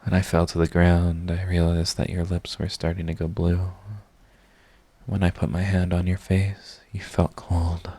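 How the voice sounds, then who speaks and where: A man's voice: deep voice, completely flat delivery, measured pace, warm tone, husky, no room sound. A man playing a proud villain who is secretly devoted to his rival, in an intimate, emotional audio roleplay. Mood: somber, confessing. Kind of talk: dramatic ASMR audio roleplay.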